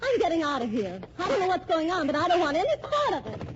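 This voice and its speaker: A woman's voice: extremely high pitch, big pitch swings, fast. An actress playing an indignant, melodramatic woman in an old-time radio drama. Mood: tart, defiant. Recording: muffled, noisy old radio recording.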